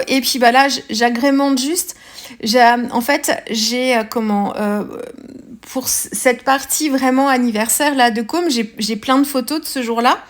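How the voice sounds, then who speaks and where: French woman, fairly high voice, relaxed halting tutorial talk for a craft vlog. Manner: hesitant, many fillers and restarts. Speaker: scrapbooking vlogger. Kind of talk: casual craft tutorial narration.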